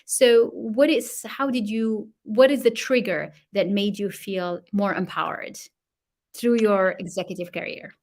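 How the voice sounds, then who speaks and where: An effusive woman hosts a live online interview at a middle pitch. She welcomes her viewers warmly and often restarts her sentences as she builds toward her questions. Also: steady tone, a little slow. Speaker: host.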